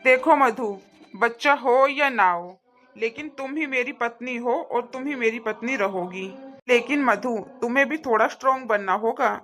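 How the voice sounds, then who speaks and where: A woman's voice: on the high side, moderate pace, fairly bright tone, soft background music, natural voice. A woman voicing a supportive, firm young husband in a cartoon family drama, earnest and reassuring. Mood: firm devotion.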